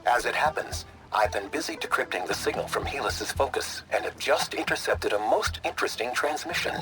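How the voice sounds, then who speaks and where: A pompous scholar-showman played by a man, wordy and grandiose with a dry edge. His pitch swings theatrically over background music, in comic game cutscene style. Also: expressive, brisk, close to an everyday voice, low-quality recording. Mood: dry, knowing. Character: pompous, self-regarding male scholar and showboating DJ. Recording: music behind the voice.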